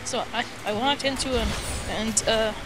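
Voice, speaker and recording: A woman telling an improvised story in casual chat, halting and rambling, acting out the dialogue as it goes, in a noisy amateur voice chat. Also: on the high side, steady tone, a crowd in the background.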